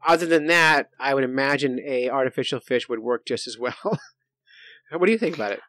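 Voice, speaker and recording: A man's voice: medium pitch, brisk, pushed and bright, no room sound. A man hosting a podcast in an informal, jokey way, chatting casually and thinking aloud as he goes through news stories. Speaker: podcast host.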